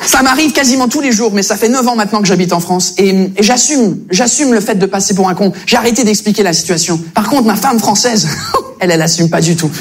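A British man whose first language is English, doing stand-up comedy with animated storytelling in fluent French. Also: sits high, fast. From Britain. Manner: animated storytelling, acting out other people.

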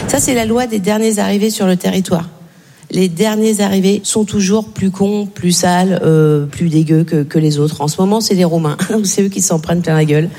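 A woman's voice: medium pitch, moderate pace, dark tone. A woman performing a stand-up monologue in a sardonic, provocative, conversational way. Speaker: stand-up comedian. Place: stage of a comedy show. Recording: reverberant stage hall with background noise.

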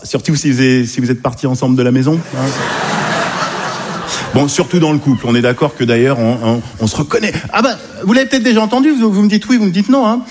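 A man performing conversational stand-up comedy to an audience, animated, with hesitant asides and tag questions. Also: on the high side, quick pace, slight background noise.

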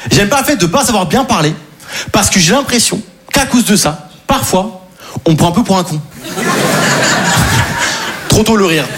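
A man in his twenties doing stand-up, halting and self-mocking with many fillers. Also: high-pitched, expressive, a bit bright, slight background noise. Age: 20s. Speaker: stand-up comedian. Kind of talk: stand-up comedy set. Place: comedy club stage before a live audience.

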